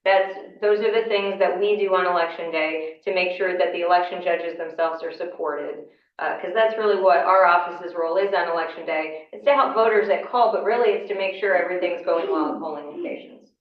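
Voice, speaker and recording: A woman, hoarse from a cold, talks a class through her work in a relaxed, explanatory way, pausing on fillers. Her voice sits on the low side. She is picked up from a distance in an echoey classroom with a dull, muffled sound. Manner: conversational, explanatory, with frequent fillers. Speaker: county election official giving a class. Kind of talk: informal lecture. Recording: echoey room, mic far off, dull sound.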